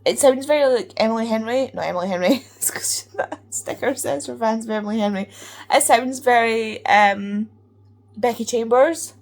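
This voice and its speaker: A woman chatting casually as a book vlogger, gushing and excitable, at times dropping to a near whisper. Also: a little high, normal speed. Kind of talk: casual vlog chat.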